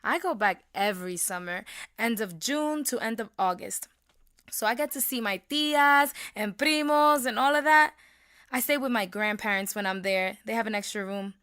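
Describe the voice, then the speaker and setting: Woman playing a blunt, bright-voiced teenage girl. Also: a little high, normal speed, no echo, studio quality, natural voice. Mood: warm, encouraging. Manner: blunt, slangy, swearing. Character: blunt teenage Puerto Rican high school girl in detention. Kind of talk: naturalistic audio drama acting.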